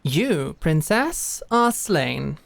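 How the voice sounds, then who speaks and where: A woman voicing a stern, teasing female knight who teaches swordsmanship, with a dry, measured manner. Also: low voice, very animated, creaky, no room sound, full character voice. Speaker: sword instructor. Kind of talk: fantasy audio drama acting.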